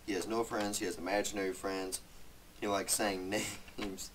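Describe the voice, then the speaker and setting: A man's voice: fairly low pitch, flat delivery, normal speed. Young man joking, distant mic. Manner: joking, rambling. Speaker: video co-host. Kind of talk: casual banter, reading notes aloud. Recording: noisy, distant microphone with clicks.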